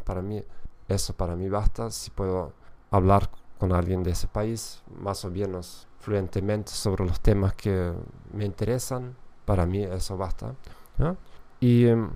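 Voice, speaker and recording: Man, gravelly low voice, hesitant podcast talk, frequent fillers and self-corrections. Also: darkish tone. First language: German. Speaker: podcast host and language teacher. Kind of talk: casual solo podcast talk.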